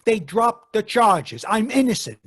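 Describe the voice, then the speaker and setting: An actor playing a jittery, defensive young fisherman in a radio drama, husky and stammering. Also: sits high, sing-song, a little slow, heavily acted. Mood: panicked, defensive. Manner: stammering, agitated. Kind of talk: 1940s radio drama acting.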